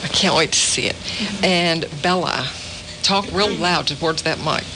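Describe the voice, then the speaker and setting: A woman's voice: sits low, unhurried. Woman radio host with background noise. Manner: friendly, laughs often. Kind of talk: casual interview. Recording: noisy small-town AM radio studio.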